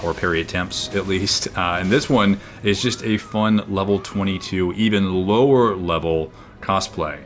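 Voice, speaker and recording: Deep-voiced man rambling over gameplay. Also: a bit bright. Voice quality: naturally booming, projecting voice. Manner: rambling, with frequent fillers and restarts. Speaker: gaming video creator. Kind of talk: casual gameplay commentary. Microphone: own microphone, level turned down.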